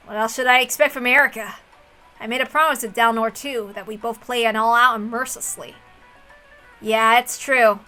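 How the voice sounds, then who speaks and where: A woman's voice: on the high side, sharp tone, poor audio. A woman voicing a stubborn, cornered young male game master, brooding and defiant, in a visual novel reading.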